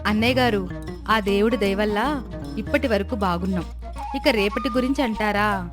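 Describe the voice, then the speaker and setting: A woman playing a modest, devout village wife, speaking calmly and respectfully in a moral story. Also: mid-range voice, normal speed, over music, natural voice. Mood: calm, sincere.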